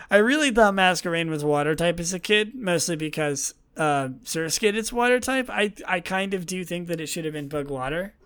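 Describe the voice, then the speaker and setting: A man with a somewhat high voice chats alone as a podcast host. His tone is clear and resonant, though a slight gravelly rasp shows it is worn from a day of talking. He rambles casually, with self-deprecating asides. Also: animated, moderate pace, a bit bright. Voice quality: slightly raspy, gravelly from overuse. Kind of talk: casual solo podcast chat about a video game franchise.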